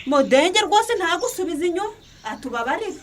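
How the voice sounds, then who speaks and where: An outspoken high-voiced village wife. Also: female voice, big pitch swings, fast. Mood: insistent. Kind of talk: Kinyarwanda radio drama acting.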